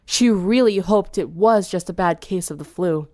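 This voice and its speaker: A woman's voice: fairly low pitch, moderate pace, warm tone, no room sound, studio quality. A woman narrating fan fiction in a dramatic storytelling style.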